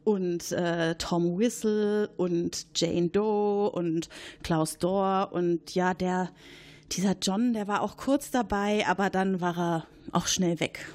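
A woman's voice: on the low side, steady tone, slow, darkish tone. A woman playing a chatty, gossipy male supervisor at a military base in an improvised audio drama, rambling and hesitant as he points at a colleague. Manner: rambling, hesitant, eager to accuse.

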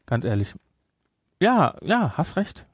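A man's voice: fairly high pitch, very animated, slow, slightly rough, no echo, clean studio sound. A man with a German accent chatting casually as a podcast co-host, explaining things in a rambling way with frequent self-corrections. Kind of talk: casual film discussion chat.

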